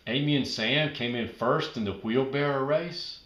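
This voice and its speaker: A man narrating a children's storybook aloud in an expressive, emphatic storytelling manner. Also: medium pitch, normal speed, echoey room.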